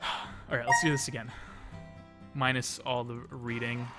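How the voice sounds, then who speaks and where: A man's voice: mid-range voice, little pitch movement, a little slow. A man reading a visual novel aloud as a let's play host, dramatizing the story and dropping in casual asides. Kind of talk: expressive read-aloud narration of a visual novel. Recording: music behind the voice. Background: game music.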